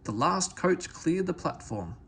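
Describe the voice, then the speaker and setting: Man, storybook narration, highly varied pitch, slightly high voice, creaky edge, some background noise. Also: sing-song, a little slow, fairly bright tone. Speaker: storybook reader. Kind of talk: expressive scripted children's story narration.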